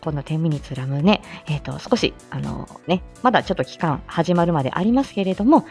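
Animated woman chatting over soft music. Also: sits low, fairly quick, gravelly. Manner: chatty, laughs often while talking. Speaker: host of a live morning audio show. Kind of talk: casual solo chat to live listeners. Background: background music.

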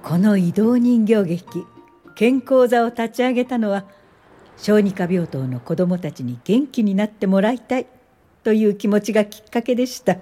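An actress playing a gentle older social worker, narrating her memories in a measured radio drama style. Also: mid-range voice, soft background music, close to an everyday voice. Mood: reflective. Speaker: narrator and lead character.

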